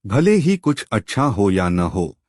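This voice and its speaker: Slow, low-voiced male storyteller. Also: sing-song, darkish tone, no echo, clean studio sound. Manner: slow, measured storytelling with a closing lesson. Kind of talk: moral story narration.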